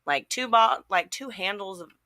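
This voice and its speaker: A woman's voice: medium pitch, expressive, normal speed, bright, forceful tone, dry recording. A woman telling a personal story casually on a panel, aggrieved and peppering it with "like". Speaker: panel guest.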